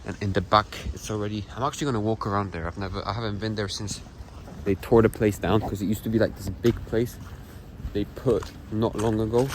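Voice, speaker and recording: A man's voice: lowish voice, steady tone, a little fast, rough recording. A young man from Poland with a Polish accent, speaking English as a second language, rambling through a casual walking-tour vlog on a phone outdoors. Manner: rambling, self-correcting. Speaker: travel vlogger. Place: outdoor town square. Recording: outdoor street noise.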